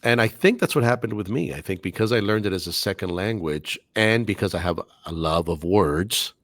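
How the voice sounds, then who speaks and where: A man's voice: low voice, animated, fairly quick, no room sound. A middle-aged man, a native Spanish speaker and English teacher, speaking articulately with careful diction in a casual podcast interview.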